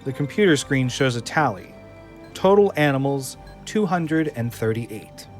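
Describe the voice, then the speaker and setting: A man's voice: mid-range voice, lively intonation, a little slow. Male narrator over music. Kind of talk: scripted narration of stage directions. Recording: music under the voice.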